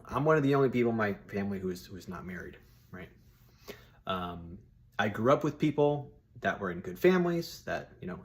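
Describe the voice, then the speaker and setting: A man in his thirties with a fairly low voice talks straight to his viewers. He is informal and blunt, addressing them like buddies in a loose, casual chat. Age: 30s. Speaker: solo video host giving personal opinions.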